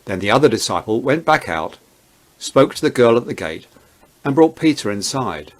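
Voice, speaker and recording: A man's voice: mid-range voice, big pitch swings, normal speed, poor audio. A man narrating a dramatized Bible reading as a scripted storyteller.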